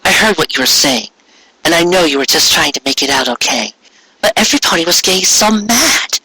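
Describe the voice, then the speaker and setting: A man's voice: a little high, animated, a little fast, bright, forceful tone, breathy edge, dry recording, voice pushed up, heavily acted, rough recording. Man as an earnest, anxious mare, raised voice. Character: earnest, anxious female earth pony explaining herself.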